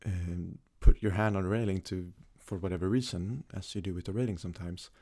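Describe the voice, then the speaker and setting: A young man from Sweden, a native Swedish speaker, talks casually in a soft-spoken, hesitant ASMR style as he answers questions. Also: sits low, steady tone, fairly quick. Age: young adult. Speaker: ASMR creator.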